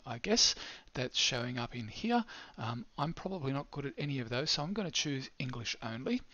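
An older man presenting an unscripted screencast walkthrough of educational software. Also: a little high, no echo. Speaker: presenter of an educational technology demo. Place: small indoor room. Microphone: headset. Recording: slightly dull, band-limited headset audio.

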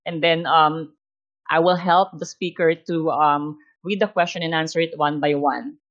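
Dull, lo-fi online audio carries a woman with a lowish voice. She moderates a webinar, speaking carefully and haltingly through introductions and instructions. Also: steady tone.

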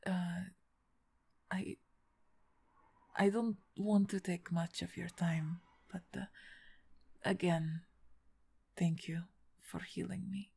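A woman playing a big, gentle, injured half-orc in an ASMR roleplay, speaking softly and hesitantly with a breathy, husky tone. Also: fairly low pitch, little pitch movement, exaggerated voice. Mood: soft, sincere. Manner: hesitant, soft-spoken, halting with pauses.

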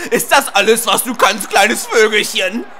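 A man's voice: high voice, lively intonation, slow pace, background noise, exaggerated voice. A man playing an arrogant, scornful alien warrior prince in an anime-style fan dub, haughty and sneering. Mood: mocking.

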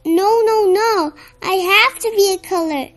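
A child reading a children's picture book aloud in an expressive, somewhat halting way, with stumbles. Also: extremely high pitch, faint music behind. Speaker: storybook reader.

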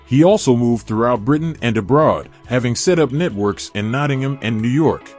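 A man giving a steady, measured scripted documentary narration. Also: mid-range voice, faint music behind.

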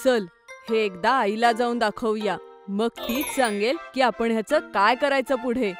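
A woman's voice: a little high, fairly even intonation, measured pace, soft background music, lightly acted. A woman playing a curious, eager young boy in a children's cartoon, speaking expressively.

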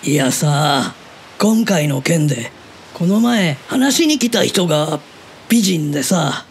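High-pitched, easygoing middle-aged uncle played by a man. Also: big pitch swings, takes it slowly, creaky, a little hiss or hum, heavily acted. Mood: chatty, amused. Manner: casual, blunt, chatty. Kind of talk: Japanese audio drama dialogue.

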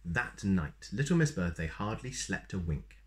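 Male storyteller, thirties, low voice, animated and playful read-aloud for young children. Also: unhurried, a little hiss or hum. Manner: expressive, playful. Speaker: storyteller reading a children's picture book. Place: small plain room indoors.

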